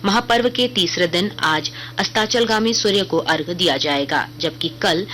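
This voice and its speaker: A woman with an Indian accent reads a scripted radio news bulletin in a formal, measured way. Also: fairly low pitch, bright, forceful tone, a little hiss or hum.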